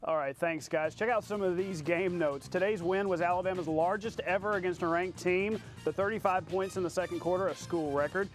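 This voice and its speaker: Male TV sports co-host, higher-pitched voice, punchy exclamatory highlight delivery, light music underneath. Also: a little fast, few pauses. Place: TV studio.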